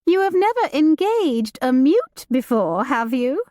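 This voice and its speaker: A woman's voice: sits high, big pitch swings, measured pace, dark tone, dry recording, clean studio sound, pitched above the performer's own voice. A woman voicing a flighty, romantic young noblewoman with a lilting, gossipy manner. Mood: playful.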